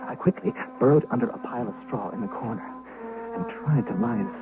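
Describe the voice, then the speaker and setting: A man's voice: medium pitch, sing-song, fast, over music. An actor playing a humble, fearful shepherd who tells his tale with dramatic suspense in an old-time radio drama. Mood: fearful, agitated. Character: fearful humble shepherd recounting what he witnessed. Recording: hissy, muffled old radio broadcast.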